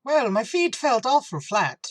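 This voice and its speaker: A man's voice: extremely high pitch, sing-song, measured pace, fairly bright tone, dry recording, clean studio sound, full character voice. A man playing a jovial, grumbling police officer in an old-time radio comedy. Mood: hearty, griping. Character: jovial flat-footed male police officer.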